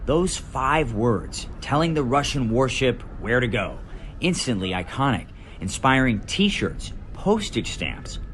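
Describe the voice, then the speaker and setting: An American man, a news correspondent, reading a scripted report voice-over in a dramatic, measured way with deliberate pauses. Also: medium pitch, very animated, a bit bright, a little hiss or hum.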